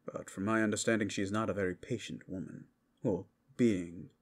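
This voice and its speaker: A man playing a measured, cautious brothel keeper, explaining things calmly and quietly in a fantasy ASMR roleplay. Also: on the low side, lightly acted. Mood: grave. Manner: calm, hushed, unhurried explaining.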